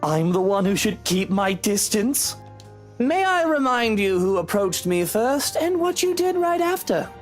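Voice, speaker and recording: Game role: sardonic, tactless, impatient man, grudging and haughty, lively intonation. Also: high-pitched, a little slow, soft background music. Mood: sardonic, defiant. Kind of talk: visual novel game acting.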